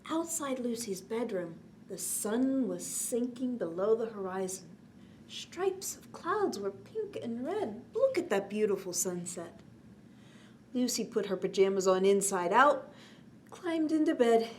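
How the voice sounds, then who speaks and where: A woman with a slightly high voice hosts a story time for young children. She reads expressively and speaks to the listeners in a gentle, chatty way. The recording carries noticeable background noise. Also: sing-song, a little slow. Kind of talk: read-aloud bedtime story with chatty asides. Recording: clearly noisy background.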